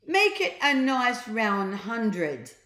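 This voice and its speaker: Cheeky young storybook boy voiced by a woman, bold, commanding, loud, lifted pitch, echoey room. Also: big pitch swings, extremely slow, full character voice. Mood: gleeful command. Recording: roomy echo.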